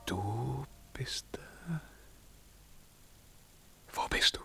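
An actor playing a tormented, proud emperor in solemn, declamatory 1950s German radio verse drama. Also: male voice, mid-range voice, animated, drawn-out words, creaky, sharp tone, husky, heavily acted. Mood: hushed dread. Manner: solemn, declamatory, swinging from whisper to cry.

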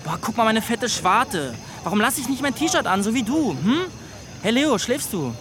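A man's voice: sits high, sing-song, normal speed, crowd noise. A man playing a crude, frustrated, self-loathing teenage boy who rambles in whiny slang in a radio drama. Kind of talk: colloquial radio drama dialogue.

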